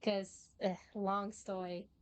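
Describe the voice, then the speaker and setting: A woman's voice: medium pitch, little pitch movement, takes it slowly, smooth. A woman reacting casually as she streams a game, chatty and exclamatory with muttered asides. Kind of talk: casual live gameplay reaction.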